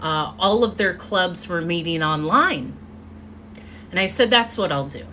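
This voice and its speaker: Slow, bright-voiced woman speaker. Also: fairly low pitch, lively intonation, slight background noise. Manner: earnest storytelling with drawn-out pauses. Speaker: motivational speaker. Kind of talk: live-streamed motivational talk. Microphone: phone or webcam mic.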